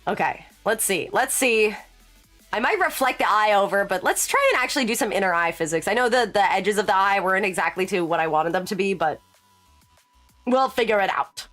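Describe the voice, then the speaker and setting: A woman's voice: medium pitch, lively intonation, fairly quick, fairly bright tone. A young woman in her late twenties talks casually with her stream chat in a chatty, rambling way. She breaks off into laughing asides. Faint music plays under her voice. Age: late 20s. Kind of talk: casual live-stream chat while working.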